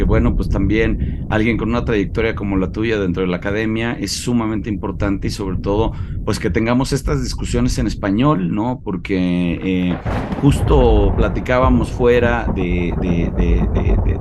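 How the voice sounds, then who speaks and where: A man from Mexico with a Mexican Spanish accent, hosting a relaxed academic conversation over a video call, talking in a friendly way with many fillers and repeated words. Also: lowish voice, heavy background noise. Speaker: host.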